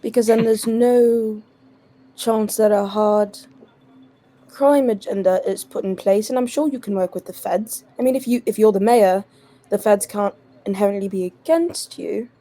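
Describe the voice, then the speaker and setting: Female tabletop player, dark warm timbre, persuasive and logical. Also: mid-range voice, fairly even intonation, moderate pace. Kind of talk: in-character tabletop role-play discussion.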